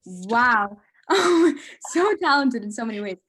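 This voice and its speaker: A woman's voice: a little high, very animated, unhurried, a bit bright. A woman asking a casual audience question in a gushing, enthusiastic way.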